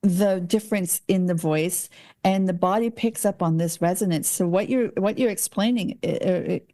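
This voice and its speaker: Mellow-voiced woman podcast host. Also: fairly low pitch, normal speed. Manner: searches for words mid-thought. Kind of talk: casual conversational interview.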